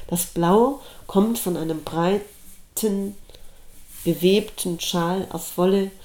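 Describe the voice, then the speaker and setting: A middle-aged woman reading her own reflective personal essay aloud, in a slow, measured and thoughtful way. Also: on the low side, darkish tone. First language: German. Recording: roomy echo.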